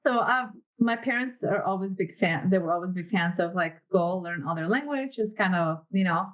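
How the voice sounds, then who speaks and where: A woman in her thirties from Mexico, a podcast guest telling her story in a quick, friendly way. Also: fairly low pitch, dull audio. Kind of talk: casual interview chat.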